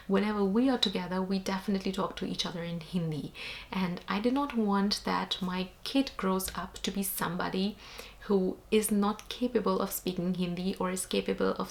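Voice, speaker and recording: A woman's voice: medium pitch, vocal fry. A young woman from India with an Indian accent, explaining things earnestly to camera in a casual vlog. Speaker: vlogger.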